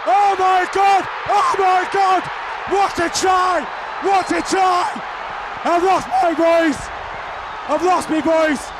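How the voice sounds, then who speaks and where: A man giving excited play-by-play commentary on a rugby league match, often shouting over the action. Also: very high-pitched, slow pace. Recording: archive broadcast with crowd noise.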